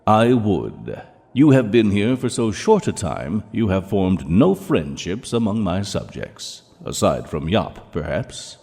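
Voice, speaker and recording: An actor playing a stern, menacing ruler of Hell in an audio drama, speaking in a measured, commanding and pompous way. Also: male voice, low voice, sing-song, vocal fry, darkish tone, husky, heavily acted. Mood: coldly commanding. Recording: long hall reverb.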